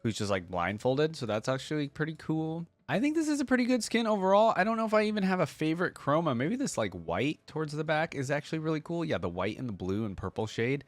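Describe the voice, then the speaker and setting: Guy doing casual reaction commentary, chatty and into it, thinking out loud, really dry close sound. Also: on the high side, very animated, fast talker, hardly any pauses, no room sound. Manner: enthusiastic, informal, thinking aloud. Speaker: reaction video creator and host.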